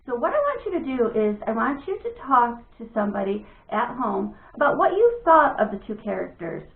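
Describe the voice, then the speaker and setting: A woman reading a children's storybook aloud like a teacher, with expressive, deliberate phrasing. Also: on the high side, gravelly. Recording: thin phone-like audio, echoey room.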